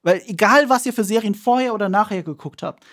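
A man appearing as an expert guest, telling stories eagerly and repeating words when excited. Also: high-pitched, fast. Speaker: podcast guest, series expert. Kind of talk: enthusiastic storytelling.

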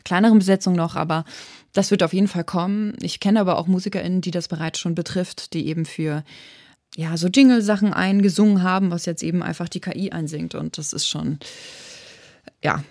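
A woman's voice: on the low side, fairly even intonation, brisk, a little mellow, dry recording, clean studio sound. A woman in her thirties from eastern Germany, a composer talking thoughtfully in an interview and often restarting her sentences. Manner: thoughtful, searching for words with frequent restarts. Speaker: composer and arranger guest. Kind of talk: reflective interview talk.

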